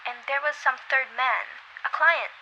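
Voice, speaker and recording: A woman's voice: sits high, measured pace, pushed and bright, highs cut off, a little hiss or hum, heavily acted. A young woman in an amateur radio play acting an imperious, fretful married woman who is demanding and indignant. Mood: agitated, insistent. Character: imperious, agitated, self-important married woman. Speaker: amateur voice actor.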